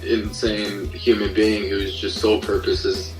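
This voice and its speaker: A man chatting casually as an interview guest, explaining his creative work in a halting but enthusiastic way. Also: fairly low pitch, flat delivery. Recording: roomy, distant mic, faint music.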